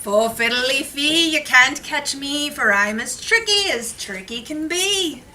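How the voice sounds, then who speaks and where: A woman's voice: high-pitched, animated, slow, bright, forceful tone, heavily acted. A woman voicing a sneaky, mischievous storybook leprechaun who teases in sing-song rhyme, recorded from a distance in a noisy room. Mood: teasing. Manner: teasing, sing-song rhyming. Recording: noisy classroom, distant mic.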